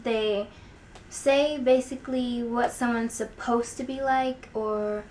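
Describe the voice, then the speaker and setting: A young woman with an American accent speaks straight to her vlog audience. Her pitch sits in the middle range for a woman, and she leans on key words with deliberate emphasis. There is some background noise in the recording. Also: fairly even intonation, a little mellow. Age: young adult. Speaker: video blogger. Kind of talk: opinion vlog to camera. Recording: clear background noise, room ambience.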